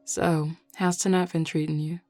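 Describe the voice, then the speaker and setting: A woman playing a flirty, teasing male werewolf with a Southern US accent and a playful, unhurried drawl in an audio roleplay. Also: sits low, little pitch movement, warm tone, no echo, soft background music, natural voice.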